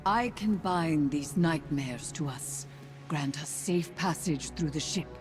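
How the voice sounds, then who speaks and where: A solemn, ominous witch from a video game. She speaks slowly and gravely, as if every phrase were part of a dark rite. Long reverb surrounds the voice, with clear music behind it. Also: sits low. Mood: solemn, urgent. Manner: grave, portentous, incantatory delivery. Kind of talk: dramatic scripted video game acting.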